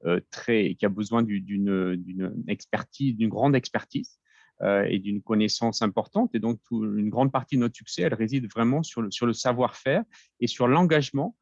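A man in his forties, a company executive being interviewed, speaking hesitantly with many pauses and restarts. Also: on the low side, a little mellow, slightly rough, no room sound, lo-fi sound. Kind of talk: podcast interview.

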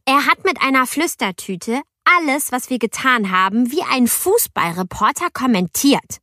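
A woman's voice: high-pitched, moderate pace, no echo, studio quality. A woman voicing an indignant ten-year-old girl who narrates her misadventures expressively in an audiobook. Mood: exasperated, lively. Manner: expressive, youthful, exasperated. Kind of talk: audiobook first-person narration.

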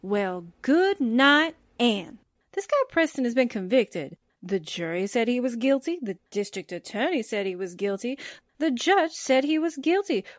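A woman's voice: fairly high pitch, very animated, a little slow, no echo, studio quality. A woman playing a skeptical, sarcastic newspaperwoman sidekick in a 1940s-style radio drama. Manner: sarcastic, pointed. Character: sassy, skeptical newspaperwoman sidekick with dry wisecracks.

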